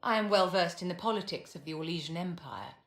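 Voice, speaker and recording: An actress playing a poised, aristocratic mage in a video game, speaking in a measured, courtly and haughty manner. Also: female voice, on the low side, expressive, a little hiss or hum. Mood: poised, assured. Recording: reverberant hall with music underneath.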